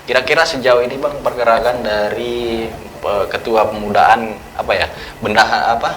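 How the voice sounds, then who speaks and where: A man's voice: mid-range voice, steady tone, moderate pace, fairly bright tone, slight background noise, low-quality recording. A man hosting a casual studio talk show, playful and teasing, laughing often as he introduces and questions his guest.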